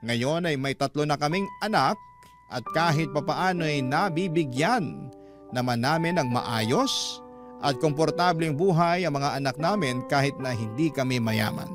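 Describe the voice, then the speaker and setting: A man's voice: fairly high pitch, lively intonation, unhurried. A man voicing a humble married working man who recounts his life in earnest, reflective radio drama narration. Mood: content. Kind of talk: first-person Tagalog radio drama narration. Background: guitar music.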